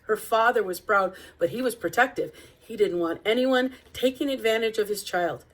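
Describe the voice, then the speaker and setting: A woman reading a picture book aloud as narrator, with deliberate, expressive phrasing. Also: fairly high pitch. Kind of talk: read-aloud storybook narration.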